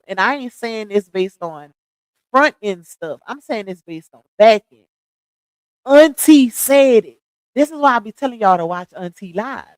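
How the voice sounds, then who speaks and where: A woman's voice: mid-range voice, no echo, rough recording. A woman in her thirties chatting casually on a livestream, gossiping, laughing often and talking straight to her viewers. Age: 30s.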